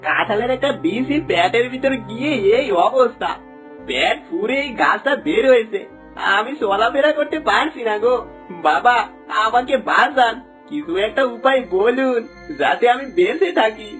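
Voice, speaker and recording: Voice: mid-range voice, expressive, normal speed, fairly bright tone, natural voice. An actor voicing a greedy village man who is now remorseful, pleading plaintively in a folktale cartoon. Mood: desperate pleading. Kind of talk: Bengali moral folktale cartoon dialogue. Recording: music under the voice.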